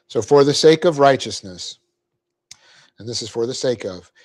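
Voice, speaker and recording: A man teaching a Bible class, explaining Greek grammar step by step, spelling out words and clearing his throat often. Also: mid-range voice, darkish tone. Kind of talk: verse-by-verse Bible class lecture.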